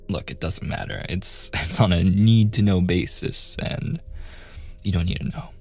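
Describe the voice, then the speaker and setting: A young man with a very low, gravelly voice acts out a teasing younger brother in an intimate audio roleplay. He talks softly and takes his time, with casual, halting phrasing and a playful edge. Faint music plays behind him. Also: heavily acted. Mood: sheepish, defensive. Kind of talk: boyfriend ASMR roleplay, soft intimate conversational acting.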